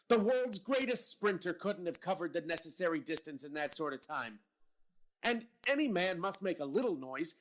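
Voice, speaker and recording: An actor playing a gruff, suspicious police captain in a radio drama, blunt and sarcastic as he grills his men. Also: high-pitched, a bit bright. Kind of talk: old-time radio drama reading.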